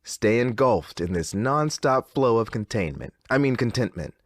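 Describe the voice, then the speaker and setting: Animated man as eerie radio DJ, dry studio. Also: medium pitch, moderate pace, dry recording, natural voice. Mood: slick, upbeat. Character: wry, unsettled radio DJ of an eerie station. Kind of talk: radio DJ patter.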